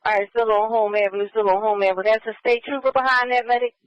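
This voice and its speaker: A man's voice: sits high, fairly even intonation, fast talker, clean tone, no room sound. A man working as a police radio dispatcher, repeating locations and orders in clipped radio phrases. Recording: muffled scanner radio audio.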